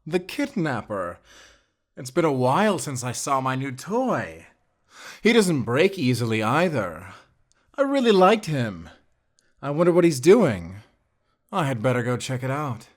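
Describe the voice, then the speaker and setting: A man's voice: mid-range voice, big pitch swings, unhurried, long pauses. A man playing a sadistic prison warden in a fantasy audio drama, musing aloud and savoring his words. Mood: eager, menacing.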